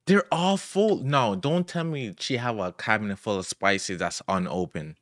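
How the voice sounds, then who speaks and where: Young man, low voice, dry close mic, casual slangy reaction talk. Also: animated, normal speed, a bit bright, no echo. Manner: loose, incredulous, slangy. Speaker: reaction streamer. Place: home streaming room with LED lights. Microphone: studio mic at desk, wearing headphones.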